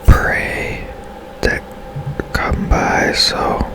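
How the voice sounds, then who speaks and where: A man giving hushed ASMR game commentary in a breathy, unvoiced whisper, musing as he plays in an unhurried way. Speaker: gaming streamer.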